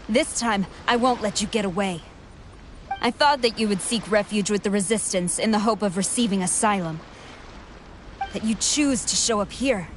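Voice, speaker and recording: An actress playing a cool, haughty female enemy general in a video game, speaking in a formal, measured, menacing manner. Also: medium pitch, a little hiss or hum, lightly acted.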